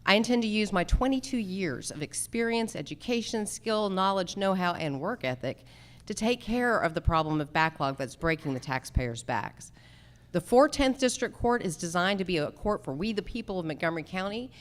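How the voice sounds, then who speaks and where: A woman's voice: medium pitch, animated, moderate pace. A woman running for judge, giving an emphatic stump speech in short, slogan-like statements. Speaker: judicial candidate, lawyer. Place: live candidate forum.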